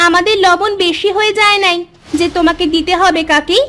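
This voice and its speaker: A woman's voice: extremely high pitch, fairly even intonation, moderate pace, sharp tone, faint music behind, voice pushed up. A woman voicing spiteful, bossy young village sisters in a cartoon, snapping rudely at their elders. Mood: rude, snappy. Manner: snappy, rude, scolding back at elders. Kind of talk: acted cartoon dialogue.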